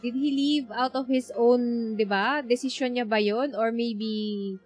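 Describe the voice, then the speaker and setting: Female game streamer, Filipino accent, slow-paced casual reactions, code-switching, clear game music behind. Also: on the high side. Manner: mixes English and Tagalog, murmured reactions. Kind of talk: casual live game commentary.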